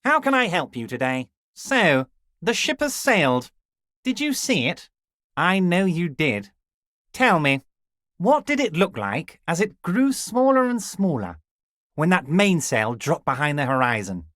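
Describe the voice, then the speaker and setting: An actor playing a smooth, scheming, jovial middle-aged union boss in a video game, folksy and patronizing. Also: male voice, a little high, wildly swooping pitch, measured pace, fairly bright tone, dry recording, studio quality, full character voice. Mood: sly, jovial. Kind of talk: game dialogue voice acting.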